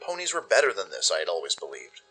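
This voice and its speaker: A man performs a young pony mare from a wasteland radio play, anxious and soft-hearted. The delivery is introspective and expressive, in a lowish, bright voice. Music plays underneath. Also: fast, slightly rough, heavily acted, poor audio. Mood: uneasy, self-reassuring. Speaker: narrator and voice performer. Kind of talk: first-person dramatic audio story narration.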